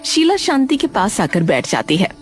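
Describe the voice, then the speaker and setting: A woman narrating a cartoon moral story in an expressive, scripted storytelling style. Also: mid-range voice, moderate pace, soft background music.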